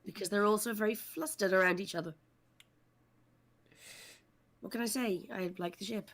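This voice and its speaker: A woman's voice: medium pitch, normal speed, a bit bright. A woman, a voice actor, chatting casually and teasing fellow panelists in an online group call.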